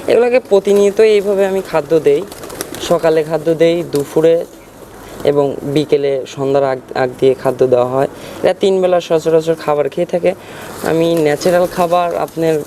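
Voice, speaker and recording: A man's voice: on the high side, dark tone. A man with a Bangladeshi accent hosting an informal farm video, often repeating himself as he addresses his viewers. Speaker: pigeon keeper and farm channel host. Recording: noisy outdoor ambience with birdsong. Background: birds and ambient noise.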